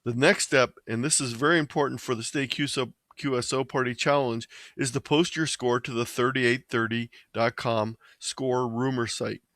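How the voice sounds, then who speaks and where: An American man presents a tutorial in a plain, step-by-step manner. His voice sits at an average pitch for a man. The recording is dry, with very little room sound. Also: fairly even intonation, normal speed, few pauses, fairly bright tone, no echo. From Ohio, United States. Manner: plain, methodical explaining. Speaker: presenter of an amateur radio tutorial. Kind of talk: instructional slideshow presentation.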